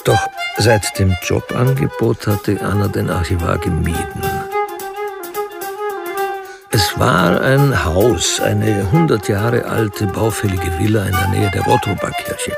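A man's voice: fairly low pitch, slow, creaky, over loud music. A man giving scripted narration in a German radio crime drama.

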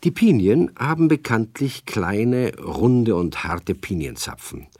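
Guy narrating a kids radio play, lowish voice, measured storytelling, no room sound at all. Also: big pitch swings, a little mellow, no echo, studio quality.

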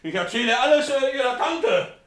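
A man's voice: very high voice, lively intonation, moderate pace, a bit bright. An actor playing a stubborn, jealous young peasant in a radio comedy, blustering and whining as he protests. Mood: jealous, sulky. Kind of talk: classic comedy radio play acting.

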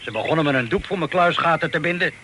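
An actor playing a bluff, hearty Dutch sea captain in a radio play, with a jovial, salty, colloquial way of talking. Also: male voice, fairly high pitch, quick pace, natural voice. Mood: jovial storytelling. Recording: noisy old radio play recording.

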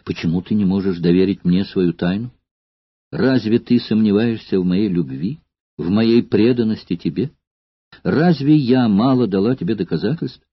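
A frightened young noblewoman presses her lover with urgent questions in a raised, breathy voice, close to sobbing, as a male reader puts her on. The sound is dull and dry. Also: fairly low pitch, normal speed, no echo. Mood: frightened pleading.

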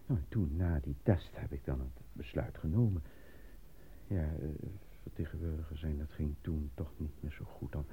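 An actor in a radio play voicing an eager, naive, easily flustered man who stammers and gets excitable. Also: extremely low pitch, moderate pace, slight background noise. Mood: hesitant, earnest. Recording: muffled lo-fi old radio recording.

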